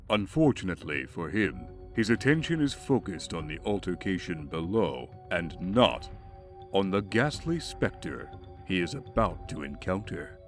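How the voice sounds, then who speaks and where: A man giving dramatic scripted narration for a comic dub. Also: low voice.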